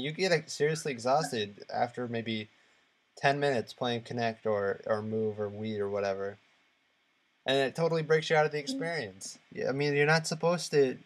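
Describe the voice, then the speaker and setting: A man speaks as a podcast co-host in a loose, conversational way. His delivery is halting, and he often searches for the next word. His pitch sits in a middle male range. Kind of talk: casual discussion of video games.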